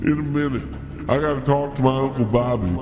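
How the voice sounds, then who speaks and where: A man's voice: mid-range voice, steady tone, brisk, a crowd in the background, close to an everyday voice. A man voicing a folksy, wry hillbilly captive in a cartoon, taking his time. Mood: giddy, drugged. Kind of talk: cartoon acting.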